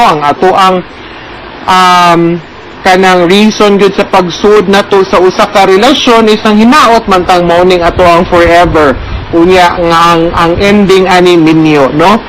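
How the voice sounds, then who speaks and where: High-voiced Filipino man explaining and advising. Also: a little hiss or hum, rough recording. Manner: explanatory, with frequent check-in tags. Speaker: advice programme host. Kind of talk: conversational explanation and advice, code-switching into English.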